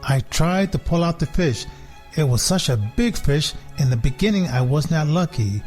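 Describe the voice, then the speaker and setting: A man reading a children's storybook as a sly, contented big house cat, in a measured, deliberate storytelling way. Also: a little high, lively intonation, darkish tone, faint music behind, lightly acted. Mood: sneaky, earnest.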